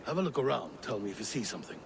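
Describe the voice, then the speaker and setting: Volatile, paranoid Viking king, gravelly, swings wide in pitch, game cutscene with music. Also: big pitch swings, rapid-fire, background noise. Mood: commanding. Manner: suspicious, erupting into furious outbursts. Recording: game cutscene audio with score, long reverb.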